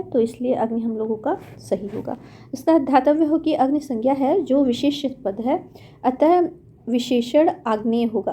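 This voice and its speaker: A woman teaching exam-prep grammar, going through questions step by step in a patient way, repeating points and pausing on thinking fillers. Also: high-pitched, mellow.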